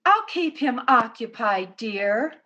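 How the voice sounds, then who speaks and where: A woman playing a dutiful, gently firm wife and mother in a 1950s-style radio drama. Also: a little high, lively intonation, takes it slowly, highs cut off, heavily acted. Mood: polite, coaxing.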